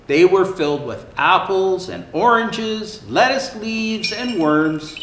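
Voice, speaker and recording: Male children's storyteller, high-pitched and theatrical, stretching words for emphasis. Roomy, echoing sound. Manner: expressive, theatrical, with drawn-out emphasis. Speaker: storyteller dressed as Santa Claus. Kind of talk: animated children's picture-book reading. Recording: roomy echo, faint background noise.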